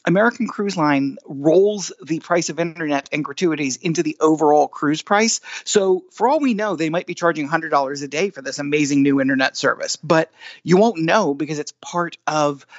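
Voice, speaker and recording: A man's voice: a little high, moderate pace, no echo, dull audio. A man co-hosting a podcast, chatting casually through the news with self-deprecating humour. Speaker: podcast co-host giving news.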